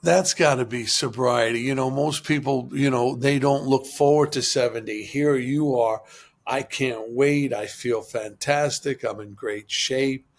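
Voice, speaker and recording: A man hosting a podcast interview, encouraging his guest with quick affirmations. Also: mid-range voice. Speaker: podcast host.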